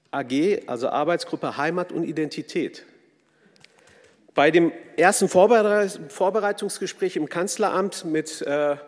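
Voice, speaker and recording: Guy w/ german accent giving an earnest speech, lots of ums, bit high-pitched, echoey big hall. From Kurdish from Turkey, raised in Germany. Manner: earnest, hesitant with frequent ums. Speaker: speaker giving a talk. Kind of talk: formal speech with fillers. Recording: long hall reverb.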